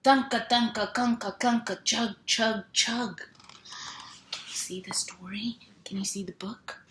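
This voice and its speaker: A woman reading a picture book aloud to young children in a sing-song, animated way, stretching sound words and asking simple questions. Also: fairly high pitch, measured pace. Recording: roomy echo, clear background noise.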